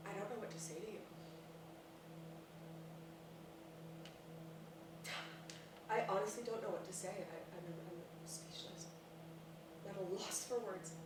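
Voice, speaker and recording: Wounded, exasperated middle-aged mother for stage drama, acted by a woman; very echoey room, clear background noise. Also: on the low side, steady tone, brisk, natural voice. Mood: stunned, quiet. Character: exasperated, wounded mother of a lying grown daughter. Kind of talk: staged play reading. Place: echoey room at a staged reading, far mic. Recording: far mic, echoey noisy room.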